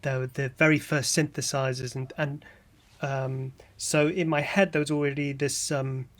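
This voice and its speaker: A man's voice: mid-range voice, fairly even intonation, normal speed, fairly bright tone. A man talking casually in an interview as a composer, hesitant and self-effacing. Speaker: composer interviewed about an audio drama.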